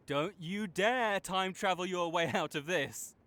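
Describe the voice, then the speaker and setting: A man playing an arrogant, teasing supervillain rival with a soft spot, speaking theatrically to the listener in an audio roleplay. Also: high voice, a little slow. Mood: playful, gloating. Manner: smug, teasing, theatrical with sighs. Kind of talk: dramatic roleplay monologue spoken to the listener.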